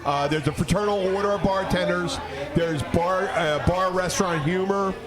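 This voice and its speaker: Guy with a high voice, bartender hosting a chatty show, swears a lot, rambles, busy bar behind him. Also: normal speed, a crowd in the background. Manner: rambling, animated, profane, acts out conversations. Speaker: podcast host and bartender. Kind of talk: casual bar banter and storytelling.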